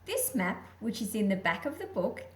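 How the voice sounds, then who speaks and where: A woman's voice: mid-range voice, very animated, moderate pace. A woman reading and explaining a picture book aloud for young listeners. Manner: addresses young listeners with questions. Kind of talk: children's story read-aloud and explanation.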